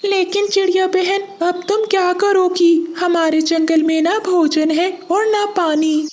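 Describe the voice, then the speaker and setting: Performer as a little male bird, high-pitched, worried, earnest, children's cartoon dialogue. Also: flat delivery, unhurried, a little mellow. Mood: worried, doubtful. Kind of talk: animated children's moral story dialogue.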